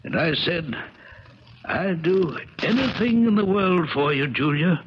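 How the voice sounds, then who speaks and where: An actor playing a sick, doting old uncle in a plaintive, wistful radio drama style. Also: male voice, fairly high pitch, animated, measured pace. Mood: wistful, tender. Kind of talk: 1970s radio drama acting. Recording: dull crackly 1970s radio recording.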